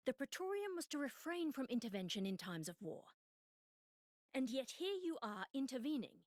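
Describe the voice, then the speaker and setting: An imperious queen in a game cutscene. Her mid-pitched voice moves expressively, formal and pointed, in a dry recording. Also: no echo. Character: regal, sharp-tongued queen challenging a rival diplomatically. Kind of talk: dramatic game cutscene dialogue.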